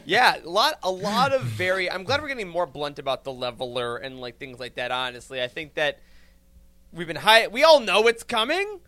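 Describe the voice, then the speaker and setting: A man's voice: high-pitched, lively intonation, normal speed, sharp tone, no echo. A man in a casual roundtable discussion, talking in an emphatic, informal, analytical way. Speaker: podcast panelist.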